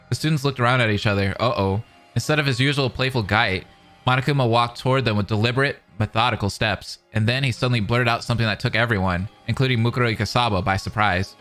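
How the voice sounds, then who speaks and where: Casting: animated male streamer, mid-pitched forceful voice, dramatic reading with excited asides, faint music. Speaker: reaction streamer. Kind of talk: reading narration aloud with excited commentary.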